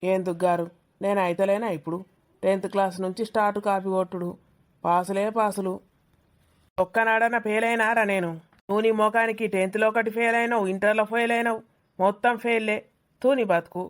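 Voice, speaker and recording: A woman's voice: medium pitch, fairly even intonation, fast, close to an everyday voice. Cartoon sidekick: boastful, mischievous male student who needles a friend in broad Telangana dialect woman performing. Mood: boastful, mocking. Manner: mocking, teasing, colloquial. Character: cheeky young male student, teasing, boastful exam cheat. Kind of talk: village comedy cartoon dialogue.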